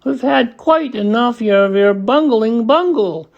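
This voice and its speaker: A big, bossy mother kangaroo from a children's storybook, as a reader acts her out. She scolds in a haughty, snappish way, slowly and deliberately, with pitch that swings about. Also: animated, vocal fry, lo-fi sound. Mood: snappish, scolding.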